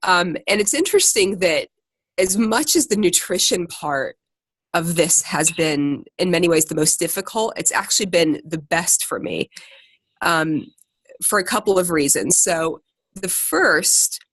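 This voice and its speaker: Low-voiced, chatty American woman. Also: lively intonation, a little slow, fairly bright tone, no room sound. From Ohio, United States. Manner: chatty, informal, self-deprecating, often laughing. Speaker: secondary teacher and podcaster leading a group call. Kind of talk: casual motivational talk on a group call. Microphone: conference call.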